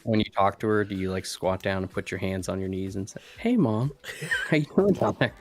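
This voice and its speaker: Lower-voiced man making jokey asides. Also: fairly quick. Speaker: stream guest. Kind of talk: casual chat.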